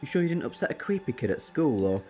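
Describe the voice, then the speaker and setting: An eccentric time traveller acted by a woman in a sci-fi audio drama. Her voice is low and swings widely as she gets exasperated and teasing, with music behind her. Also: very animated, fast talker, mellow. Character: brisk, eccentric, exasperated time-travelling alien adventurer, female.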